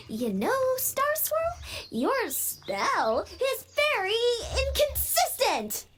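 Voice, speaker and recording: Earnest young alicorn pony, voiced by a woman, high and unhurried, over loud music. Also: wildly swooping pitch, heavy background noise, exaggerated voice. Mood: puzzled. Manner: earnest, talks to herself. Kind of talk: fan animation voice acting.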